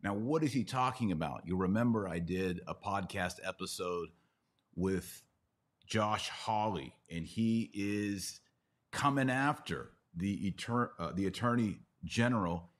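A man's voice: fairly low pitch, measured pace. An American man hosting a podcast, giving emphatic political and religious commentary and explaining point by point. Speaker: podcast host.

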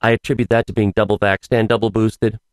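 A man hosting a casual solo podcast, hoarse, rambling with wry asides. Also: on the low side, flat delivery, quick pace, no echo, studio quality. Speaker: podcast host.

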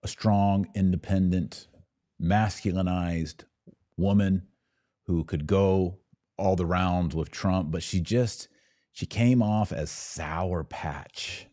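A man's voice: low-pitched, fairly even intonation, slow pace, dark tone, dry recording, clean studio sound. A man hosting a podcast, chatting casually to viewers with wry asides as he comments and reads out their messages. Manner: conversational, wry joking asides. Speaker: podcast host.

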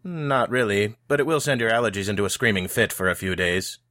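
A man's voice: on the low side, expressive, fairly quick, bright, forceful tone. A man voicing a grumpy, dismissive old male wizard shopkeeper who grumbles his lines. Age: older. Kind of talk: audiobook character voice.

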